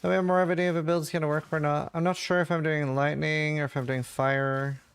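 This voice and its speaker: A man talks loosely over his gameplay, answering chat and musing about his options. His voice sits a little high and has a gravelly edge. The sound is close and dry. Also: fast, dry recording. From Norway. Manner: relaxed, thinks aloud, answers chat questions. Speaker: game streamer. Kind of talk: casual livestream chat while playing.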